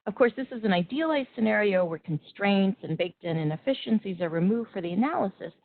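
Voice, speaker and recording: A woman presenting and moderating a webinar, explaining in a measured way with occasional restarts. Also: medium pitch, expressive. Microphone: video call. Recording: tinny phone-like web call audio.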